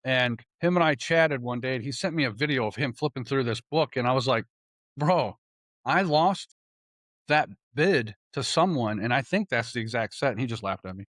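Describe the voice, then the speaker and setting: A man chatting casually as a host, excitable and slangy, with a bantering way of talking. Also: medium pitch, moderate pace, no echo, clean studio sound. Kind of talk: casual chat.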